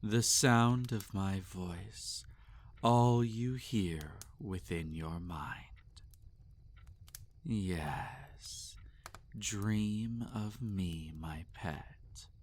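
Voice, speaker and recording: Man acting as a slow, low-voiced possessive vampire master. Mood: soothing, possessive. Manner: slow, teasing, domineering, often dropping into breathy whispers. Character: possessive sadistic male vampire master, seductive and menacing. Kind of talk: intimate roleplay audio acting.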